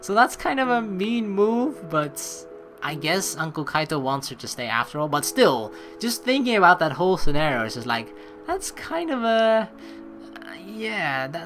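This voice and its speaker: Low-pitched, lively, bright woman gamer. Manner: expressive, sweary, chatty. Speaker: let's player. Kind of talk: casual game commentary, reading narration aloud.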